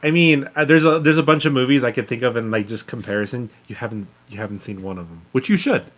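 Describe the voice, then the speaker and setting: A man co-hosting a podcast, giving animated, opinionated takes on films in casual conversation. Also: mid-range voice, fast talker. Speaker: podcast co-host. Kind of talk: casual movie review chat.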